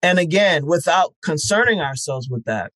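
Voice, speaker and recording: A man speaking as an interview guest in a reflective, conversational way, earnest and halting, repeating words as he thinks. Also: on the high side, animated, fairly bright tone, dry recording, low-quality recording. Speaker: interview guest, festival founder.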